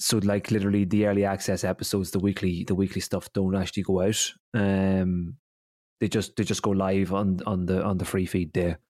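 A man's voice: deep voice, darkish tone, dry recording, clean studio sound. Rambling, giggly male podcast host. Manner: giggly, rambling with many restarts. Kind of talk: casual podcast chat.

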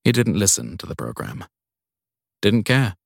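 A man's voice: fairly low pitch, big pitch swings, unhurried, vocal fry, dry recording, clean studio sound. A man reading a crime story as a scripted audiobook narration.

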